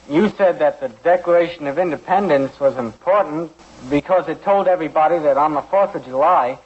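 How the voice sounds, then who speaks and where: A man's voice: fairly high pitch, slight background noise, close to an everyday voice. A man playing a brash, bumbling American teenage son in 1950s radio acting style, exasperated and slangy. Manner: exasperated, slangy, hesitant when cornered. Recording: dull vintage 1950s radio recording.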